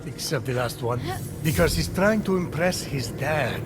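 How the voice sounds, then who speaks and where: A man's voice: a little high, animated, normal speed, close to an everyday voice. An actor playing a grave, dryly wry male storyteller, narrating a mythic video game in scripted theatrical style. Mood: measured, wry. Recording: loud game music behind.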